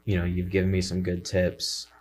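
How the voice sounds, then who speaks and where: A man in his twenties, a worship leader and college student, chatting casually on a podcast, relaxed and rambling with many fillers. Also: low voice, normal speed, slight background noise. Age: 20s.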